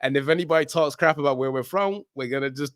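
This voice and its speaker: Male podcast guest, very quick, emphatic casual talk, close dry sound. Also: a little high, no room sound. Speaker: guest, soccer podcast creator. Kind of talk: animated casual interview chat.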